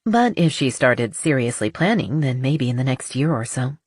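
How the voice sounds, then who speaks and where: A woman's voice: rumbling low, lively intonation, normal speed, darkish tone, slightly rough, dry recording, clean studio sound. A woman reading a romance novel as scripted audiobook narration.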